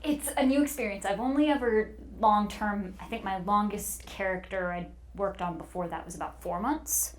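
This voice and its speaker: A woman's voice: mid-range voice, moderate pace, few pauses, a little hiss or hum. A woman talking casually and expressively as a voice actor guest on a panel. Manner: animated, expressive.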